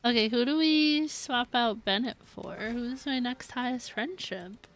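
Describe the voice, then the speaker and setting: A woman's voice: on the high side, unhurried. A woman chatting casually and playfully on a gaming livestream, giggly and given to exclamations. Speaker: game live streamer.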